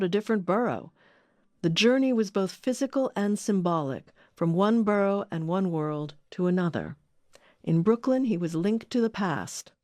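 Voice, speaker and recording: A woman's voice: lowish voice, darkish tone, dry recording. A woman reading scripted narration as the host of an audio documentary.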